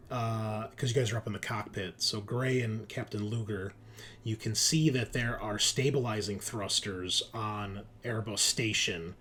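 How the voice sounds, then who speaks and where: A man's voice: on the low side, fairly bright tone, slight background noise. A man narrating a tabletop roleplaying game as game master, setting scenes and calling for rolls.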